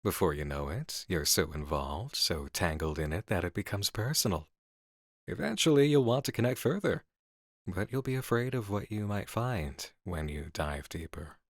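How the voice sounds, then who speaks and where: A man's voice: low voice, expressive, moderate pace, dry recording, clean studio sound. A man playing an intense, assured horror game developer who muses intimately and philosophically in an ASMR roleplay. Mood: musing, seductive.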